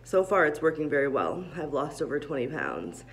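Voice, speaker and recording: A woman's voice: sits low, big pitch swings, moderate pace, slight background noise. A woman in her thirties chatting upbeat and rambling into a phone held close, first in a gym hallway and then in a parked car. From Vermont, USA. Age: 30s. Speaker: vlogger. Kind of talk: casual vlog chat.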